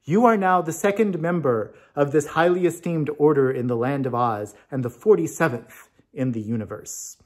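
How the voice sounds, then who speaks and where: A man's voice: on the high side, normal speed, close to an everyday voice. A man voicing a gracious, regal good sorceress who gives approval in a storybook reading. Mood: ceremonial.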